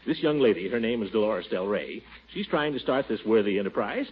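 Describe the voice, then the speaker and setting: An actor playing a pompous, blustering middle-aged bachelor who hems and stammers, in 1940s radio acting. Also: male voice, on the low side, very animated, a little fast, a little hiss or hum. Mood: pleading. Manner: hemming, stammering, coaxing. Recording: muffled vintage radio broadcast.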